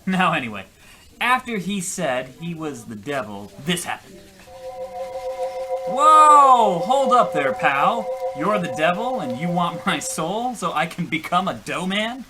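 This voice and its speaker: A man's voice: high voice, sing-song, measured pace, music in the background. A man playing a gullible, defensive husband who rambles through his tall story with exasperation in an old-time radio comedy.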